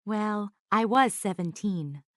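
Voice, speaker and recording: A woman voicing a friendly young woman in a scripted, slowly spoken English lesson conversation. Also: medium pitch, lively intonation, no echo, studio quality. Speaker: voice actor in English lesson dialogues. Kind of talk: scripted slow learner dialogue.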